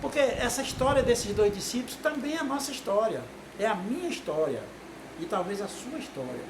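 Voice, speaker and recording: A man's voice: high voice, sing-song, brisk. A man, giving an informal, rambling sermon to a video audience with many tag questions. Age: 60s. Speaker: lay preacher. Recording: echoey room with noise.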